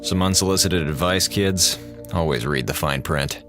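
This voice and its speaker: A man's voice: deep voice, moderate pace, music in the background, natural voice. An American man playing a sarcastic, grumbling space station communications officer, flippant and exasperated, delivering a radio drama monologue. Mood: sardonic. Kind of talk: audio drama monologue, mock radio address to schoolchildren.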